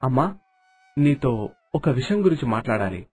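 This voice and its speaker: Polite, earnest young landlord's son played by a man, mid-pitched husky voice, cartoon moral tale. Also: very animated, normal speed, darkish tone. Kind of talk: cartoon moral story voice acting. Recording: dialogue over background music.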